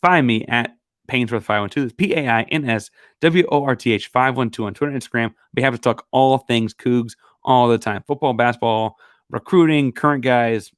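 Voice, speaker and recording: A man's voice: medium pitch, fairly quick, dry recording, clean studio sound. A man hosting a solo sports podcast, talking casually and rambling with fillers, his voice a bit worn. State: losing his voice. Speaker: podcast host.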